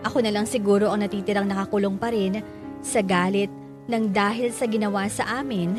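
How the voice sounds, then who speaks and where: A woman's voice: mid-range voice, fairly even intonation, fairly quick, close to an everyday voice. Woman acting reflective narrator over prominent music. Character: reflective, wounded grown child narrating family troubles. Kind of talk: first-person radio drama narration.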